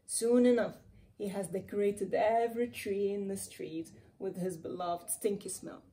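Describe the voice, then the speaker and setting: A woman reading a children's storybook aloud in an expressive, dramatic way with playful emphasis. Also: mid-range voice, measured pace. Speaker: children's author reading her own book aloud. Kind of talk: animated storybook read-aloud.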